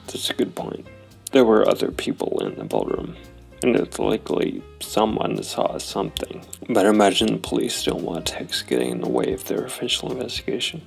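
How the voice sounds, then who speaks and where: A man narrating his solo tabletop role-playing game, thinking aloud in a deliberate, analytical way. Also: lowish voice, big pitch swings, creaky, soft background music.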